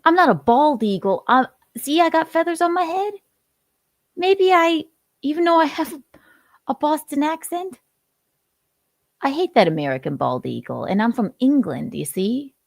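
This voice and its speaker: A woman's voice: sits high, lively intonation, normal speed, gravelly, pauses often, no echo, studio quality, voice pushed up. Woman putting on a gleeful villainous hawk. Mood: menacing. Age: 40s. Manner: gleeful, unrepentant, menacing boasts. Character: violent male hawk who hates bald men.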